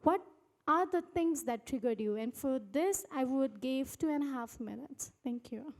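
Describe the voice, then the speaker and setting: A woman's voice: sits high, normal speed, darkish tone. A woman moderating a live panel, addressing the speakers and putting questions to them.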